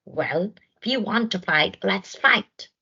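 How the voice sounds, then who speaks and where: A woman voicing an irritable storybook rhino, gruff, blunt and ready for a fight. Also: medium pitch, lively intonation, takes it slowly, bright, forceful tone, highs cut off, pitched above the performer's own voice, full character voice. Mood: challenging. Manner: blunt, challenging.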